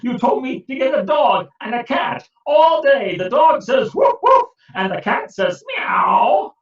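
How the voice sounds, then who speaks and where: A frazzled villager at his wits' end, whining high and loud about every creak and squeak, as a storyteller puts him on. His lines climb up in pitch. The echoey, far-off recording sounds dull. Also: lively intonation, extremely slow, full character voice. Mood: exasperated. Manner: complaining, wailing at the end of his patience. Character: fretful grown man fed up with household noise.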